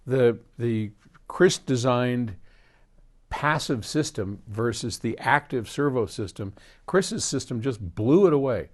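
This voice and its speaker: A man's voice: medium pitch, slow pace. An older American man hosting a casual question-and-answer talk, rambling through explanations and anecdotes with frequent digressions. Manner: animated, digressive storyteller who quotes conversations. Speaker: host answering audience questions.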